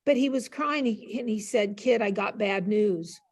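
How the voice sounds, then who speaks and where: A woman's voice: mid-range voice, normal speed. Older female panel guest, professor type; chatty, self-deprecating storyteller on a video call. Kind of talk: casual anecdotal storytelling. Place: classroom.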